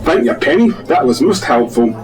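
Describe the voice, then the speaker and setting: An actor playing a formal, officious village police constable in a comic radio drama. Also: male voice, mid-range voice, sing-song, normal speed, music in the background, close to an everyday voice. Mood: officious, inquiring.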